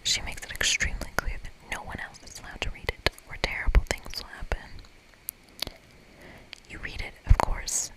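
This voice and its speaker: Whispering ASMR gamer reading aloud. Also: low-quality recording. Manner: casual, playful asides. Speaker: ASMR host playing a video game. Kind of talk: whispered ASMR game read-aloud and commentary.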